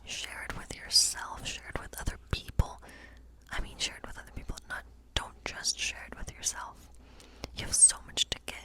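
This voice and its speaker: A woman whispering gently and breathily in an ASMR role play as a kindly witch who reads tarot. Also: poor audio. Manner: gentle, slow, soothing reassurance. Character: kindly witch reading tarot in her woodland cottage. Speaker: ASMR performer playing a witch.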